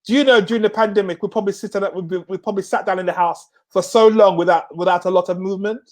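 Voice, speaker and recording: Host, male, middle-aged, raised in Jamaica; high-pitched, halting restarts, punchy repeated slogans. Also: steady tone. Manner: stammering repeats, laughs often, emphatic slogans. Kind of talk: casual chat.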